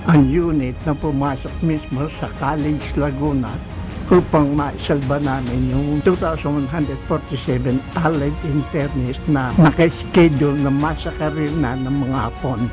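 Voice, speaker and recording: A man's voice: a little high, steady tone, normal speed, music in the background, rough recording. An elderly veteran speaking politely and respectfully about a raid he took part in. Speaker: war veteran interviewee.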